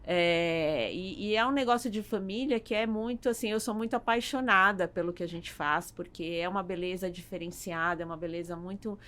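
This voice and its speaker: Halting Brazilian woman, slightly low voice. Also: fairly bright tone. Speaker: podcast guest, salon business owner. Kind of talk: conversational interview answers.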